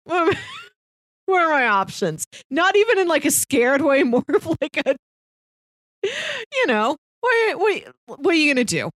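A young woman with a fairly high, very animated voice, talking casually as a podcast co-host. She is chatty and wry, sprinkling "like" through her sentences. The sound is dry and close. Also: measured pace, dry recording. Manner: chatty, wry, peppers talk with "like". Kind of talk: casual movie podcast chat.

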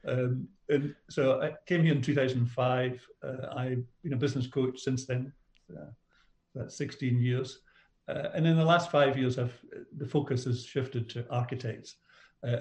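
A man's voice: mid-range voice, little pitch movement, normal speed, a little mellow. A middle-aged man with a Scottish accent, a business coach answering questions in a conversational interview. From Scotland. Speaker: business coach, podcast guest. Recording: roomy, echoey sound.

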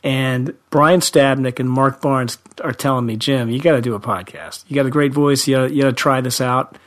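A man's voice: medium pitch, fairly quick. Friendly man in his fifties. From Central Ohio, USA. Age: 50s. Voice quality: young-sounding. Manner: friendly, self-deprecating, laughs often. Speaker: high school teacher, guest. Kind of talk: podcast interview.

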